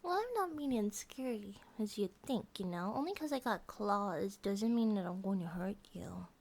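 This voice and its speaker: A woman voicing a gentle, affectionate monster-girl bear wife in a soft ASMR comfort roleplay, often dropping to a whisper. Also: medium pitch, animated, moderate pace, vocal fry, a little hiss or hum. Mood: cuddly, affectionate.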